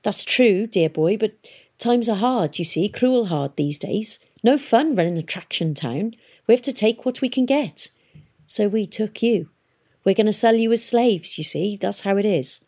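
A woman's voice: medium pitch, lively intonation, moderate pace, darkish tone, voice pushed up. A woman voicing a cheerful, chatty male town boss who sounds regretful as he explains himself. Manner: genial, chatty, mock-regretful.

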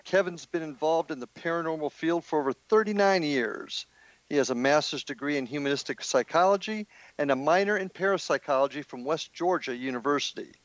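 A man's voice: a little high, a little fast, dry recording. A man hosting a radio talk show, speaking in a friendly way with fillers and restarts. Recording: dull lo-fi, dry sound.

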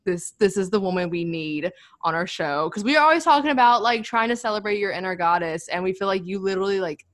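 A young woman co-hosts a podcast from an office in her apartment. She talks in a mid-pitched voice, chatty and upbeat, with lots of "like.". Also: lively intonation, fast, fairly bright tone. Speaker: podcast co-host. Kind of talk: casual podcast chat.

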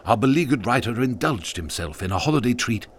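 A man's voice: medium pitch, animated, a little fast, slight background noise. Wry, theatrical man narrating. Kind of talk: scripted storybook narration.

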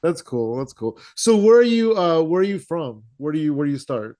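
Upbeat higher-voiced male podcast host. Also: big pitch swings, normal speed, warm tone. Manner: enthusiastic, casual, fillers like "like" and "man". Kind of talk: casual podcast interview.

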